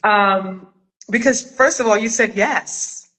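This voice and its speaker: A woman's voice: mid-range voice, takes it slowly, bright, forceful tone, poor audio. A woman hosting a casual live-stream chat interview over a video call, talking in an effusive, gushing way. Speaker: host of a live chat interview, audio drama maker.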